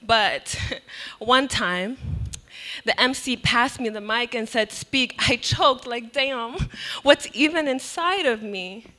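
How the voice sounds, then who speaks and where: Young woman poet, bright and slow-paced, reciting her verse into a stage mic. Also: fairly high pitch. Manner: expressive, laughs between lines. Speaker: spoken word poet. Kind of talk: spoken word poetry performance. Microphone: handheld mic on a stand.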